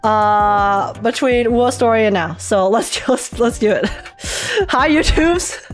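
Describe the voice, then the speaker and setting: A woman hosting a casual gaming livestream, chatting excitably, teasing herself and repeating phrases for emphasis. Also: on the high side, takes it slowly, soft background music. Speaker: livestream host and gaming reactor. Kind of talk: casual livestream chat and trailer reaction.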